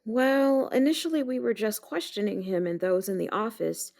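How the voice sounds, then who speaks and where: A woman's voice: mid-range voice, lively intonation, normal speed, creaky, darkish tone. A woman playing a matter-of-fact homicide detective testifying in a scripted courtroom drama, plain and official in delivery.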